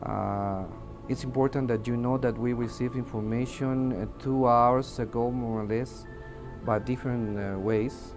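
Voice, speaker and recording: A man's voice: mid-range voice, fairly even intonation, a little slow, darkish tone. A man with a Mexican accent, a native Spanish speaker, giving testimony as an air traffic controller in hesitant, broken English, searching for words. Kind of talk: interview testimony in halting English. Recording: music under the voice. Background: music.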